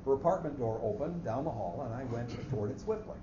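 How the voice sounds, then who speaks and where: A fussy male office clerk played by a man narrates his murderous scheme in precise, formal phrases, as in a staged reading. The sound is dull and echoey, with clear background noise. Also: mid-range voice, animated, fast talker, natural voice. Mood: scheming. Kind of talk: staged dramatic reading of a short story. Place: echoey hall at a live reading. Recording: muffled, noisy, echoey hall recording.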